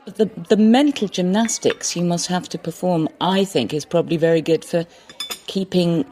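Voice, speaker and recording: An interview host who reads a polished introduction and asks questions in a measured way. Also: mid-range voice, darkish tone. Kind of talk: scripted introduction then interview.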